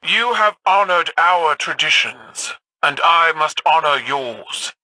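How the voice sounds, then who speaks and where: A woman's voice: low-pitched, expressive, slow pace, bright, forceful tone, dry recording, heavily acted, low-quality recording. A woman playing a proud, warlike alien empress in a video game, formal and imperious, with a radio filter. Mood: solemn. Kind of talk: video game character acting.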